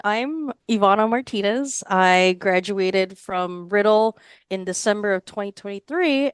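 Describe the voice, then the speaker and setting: A woman's voice: mid-range voice, clear, resonant tone, no room sound. A woman in her twenties from Hawaii, chatting casually as a podcast guest and rambling freely with many fillers. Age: 20s. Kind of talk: casual interview answers.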